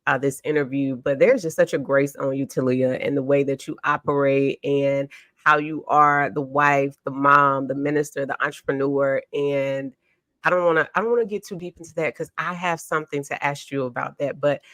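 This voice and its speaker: Woman, podcast host, low pitch, dry recording, chatty, encouraging. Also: fairly even intonation, normal speed, no echo. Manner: warm, affirming, chatty. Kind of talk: interview hosting.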